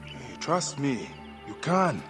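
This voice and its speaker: A man's voice: medium pitch, sing-song, slow pace, fairly bright tone, soft background music. An actor playing a protective warrior father, a lawman of ancient Egypt, in a video game cutscene. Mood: coaxing, firm.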